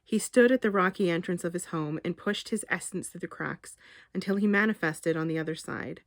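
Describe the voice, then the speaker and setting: A woman author reading her short story aloud in a measured, expressive literary narration. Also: on the low side, dry recording, clean studio sound.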